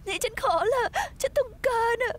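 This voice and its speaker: A woman's voice: extremely high pitch, animated, brisk, fairly bright tone. A weeping heartbroken young woman. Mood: weeping, begging. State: crying. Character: heartbroken drunk young woman begging for alcohol. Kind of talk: dubbed TV drama.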